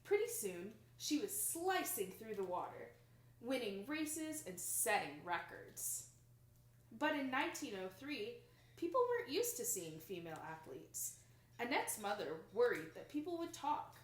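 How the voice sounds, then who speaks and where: A young woman reads a children's picture book aloud with expressive, dramatic emphasis, recorded in a small room indoors. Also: on the high side, unhurried. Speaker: storybook reader. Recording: roomy home recording.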